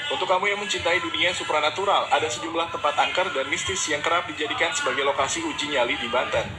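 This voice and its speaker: Fast-reading male narrator. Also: fairly high pitch, echoey room. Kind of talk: scripted listicle narration. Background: loud background music.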